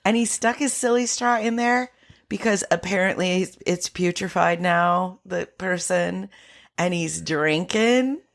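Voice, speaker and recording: A woman co-hosting a podcast in casual movie banter, giggly and animated, slipping into mock voices. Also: on the low side, a little slow, vocal fry. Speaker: podcast co-host.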